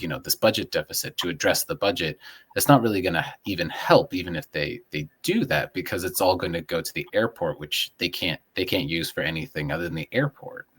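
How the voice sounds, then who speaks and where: Man, low-pitched voice, interviewer, conversational, careful and slightly tentative. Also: a bit bright. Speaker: interviewer, show host.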